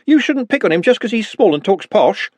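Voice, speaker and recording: A man voicing a brash, blunt young working-class man in an audiobook, slangy and defensive, with a husky voice. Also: high-pitched, very animated, brisk, a little mellow, no room sound, pitched above the performer's own voice. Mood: indignant.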